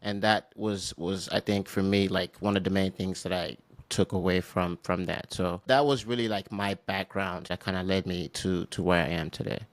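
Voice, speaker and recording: Male guest, 30s, from Nigeria; relaxed, filler-heavy chat, low voice, dry sound. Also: normal speed, dry recording. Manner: relaxed, many fillers like "you know" and "like". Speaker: guest, accounting firm founder. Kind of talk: casual interview talk.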